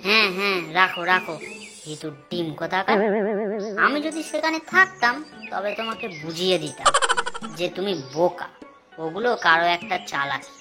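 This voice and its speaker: A man's voice: high-pitched, lively intonation, moderate pace, over music. A man voicing a boastful, mocking know-it-all animal in a children's fairy-tale cartoon. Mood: scornful.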